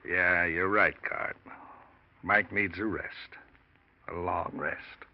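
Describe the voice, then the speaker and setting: A man's voice: deep voice, slow pace. An actor playing a scheming man who speaks in falsely soothing, hesitant tones in a 1940s radio drama. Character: scheming man poisoning his partner. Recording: dull old radio recording.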